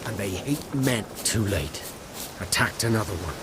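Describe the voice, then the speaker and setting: A man's voice: on the low side, animated, unhurried, heavy background noise. A man playing a wary, bitter village hunter in a video game, with a folksy, plain-spoken manner. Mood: grim, bitter.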